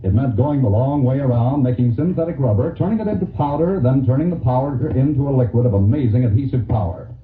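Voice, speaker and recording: A man's voice: medium pitch, quick pace, hardly any pauses. A man announcing a 1940s radio commercial in a scripted sales style. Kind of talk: 1940s radio advertising read. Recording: tinny, echoey 1940s radio recording.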